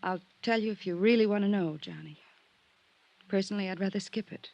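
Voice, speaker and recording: An actress playing a sultry, world-weary drifter stranded abroad in a 1950s radio drama, earnest and pleading, with weary pauses. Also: female voice, medium pitch, creaky, darkish tone, muffled recording, lightly acted. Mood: weary, regretful. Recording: old radio drama recording.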